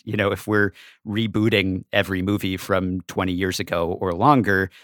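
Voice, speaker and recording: A man speaking as a podcast guest, giving conversational analysis in a measured, explanatory way. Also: on the low side, a bit bright, no echo, clean studio sound. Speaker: podcast guest, staff writer.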